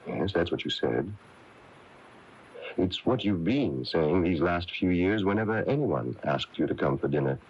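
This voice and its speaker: An actor playing a concerned small-town doctor in a 1980s radio drama, with a measured, gently questioning manner. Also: male voice, low voice, animated, husky, exaggerated voice. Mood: gently probing. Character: calm, probing small-town doctor, a concerned male confidant. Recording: dull lo-fi taped radio broadcast.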